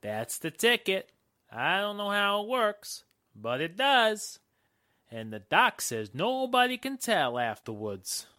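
Menacing American henchman, forties radio crime drama. Slangy sneer, hard bright edge, swooping delivery. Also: high-pitched, sing-song, slow pace, heavily acted. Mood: smug. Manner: sneering, slangy tough-guy talk. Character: menacing 1940s gangster henchman with a gun. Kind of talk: 1940s radio drama acting.

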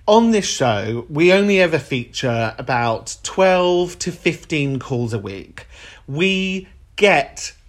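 A man's voice: fairly high pitch, slow pace. Irish-raised man with an English-sounding accent. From Ireland. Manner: earnest, animated and playful with callers. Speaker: podcast host. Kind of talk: reflective solo monologue.

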